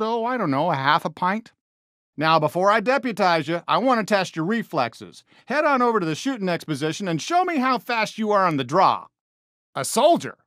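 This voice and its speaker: A man's voice: high-pitched, fairly bright tone, dry recording, clean studio sound. A man voicing a friendly, folksy American toy cowboy sheriff in a video game, eager and encouraging with a cowboy drawl. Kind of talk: video game character voice lines.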